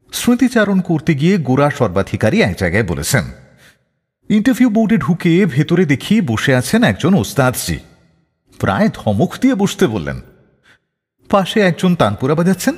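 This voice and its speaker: A male radio host narrates with a storyteller's measured delivery. His pitch rises and falls dramatically from phrase to phrase. The voice is slightly husky and carries a long reverb over faint music. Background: soft background music.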